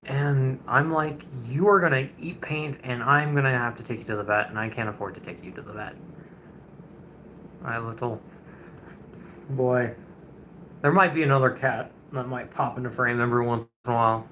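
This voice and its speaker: A man's voice: mid-range voice, steady tone, moderate pace, pauses often, bright, forceful tone, slight background noise. A man in his twenties, a streamer chatting casually and rambling with viewers during a painting livestream, chuckling often. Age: 20s.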